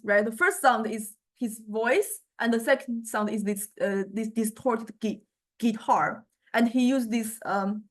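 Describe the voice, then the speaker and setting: Halting woman lecturer with a higher-pitched voice. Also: a bit bright. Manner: halting, searching for words, frequent checking "right?". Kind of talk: explanatory lecture, informal and unscripted.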